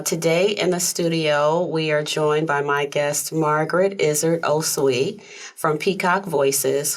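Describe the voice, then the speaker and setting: A woman hosting a talk show in a studio, soft-spoken and conversational. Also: deep voice. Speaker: host. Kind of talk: casual interview chat.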